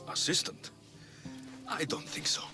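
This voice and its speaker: A man's voice: a little slow, natural voice. An actor playing a blunt, sardonic young male mercenary in a video game cutscene, with music behind him. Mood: blunt, irritated. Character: gruff young male mercenary, wry and impatient.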